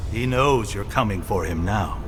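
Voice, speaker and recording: An actor playing a loyal, good-natured weaponsmith in a fantasy game, expressive and earnest. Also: male voice, on the low side, moderate pace, close to an everyday voice. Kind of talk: dramatic fantasy game acting. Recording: music behind the voice.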